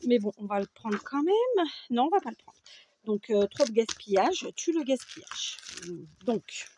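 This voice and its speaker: A chatty woman from France walks viewers through a craft project at home, her voice sitting a little high. She keeps checking in with her listeners as she goes. A faint hiss runs under the recording. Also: sing-song, unhurried, a little mellow. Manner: chatty, checks in often with "d'accord?". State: runny nose. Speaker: craft tutorial host. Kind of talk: casual scrapbooking tutorial. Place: home craft table with a fan running.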